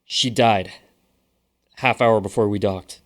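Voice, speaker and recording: A man's voice: fairly low pitch, fairly even intonation, slow pace. A man playing a theatrical showman magician and grieving son in an audio drama. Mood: somber, reflective.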